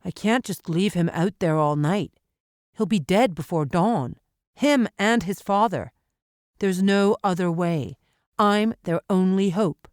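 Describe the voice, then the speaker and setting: A woman narrator voicing a resolute, calm mountain man in an audiobook, steady and reassuring. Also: on the low side, slow pace, no room sound, studio quality. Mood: grave, resolute. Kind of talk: audiobook character acting.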